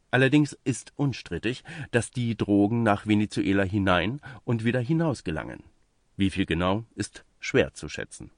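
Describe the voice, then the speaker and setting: A man's voice: on the low side, no echo, clean studio sound. A man reading out a scripted magazine report as narration. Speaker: reader of a magazine article.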